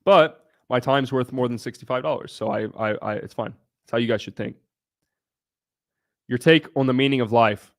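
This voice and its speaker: A man's voice: medium pitch, normal speed, dry recording, studio quality. A young man from Southern Ohio hosting a casual livestream, answering viewers' questions in a brash, blunt way and swearing freely. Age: young adult. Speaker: livestream host.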